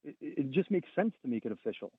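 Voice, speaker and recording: A man's voice: mid-range voice, big pitch swings, quick pace, husky, dry recording. Francophone male caller, earnest, stumbling repeats, phone-line sound. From Ontario, Canada. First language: French. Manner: earnest, repeats words when pressing a point.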